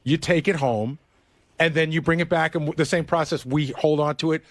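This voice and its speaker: Fast-talking man with a highish voice. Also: steady tone, dry recording. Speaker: radio show staffer. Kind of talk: casual explanatory radio talk.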